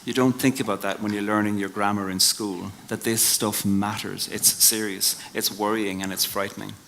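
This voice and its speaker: An Irish man giving a reflective live talk and reading his poems, in a measured way that drops to a hush at the ends of lines. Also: on the low side, steady tone, gravelly, slight background noise. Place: live venue before an audience.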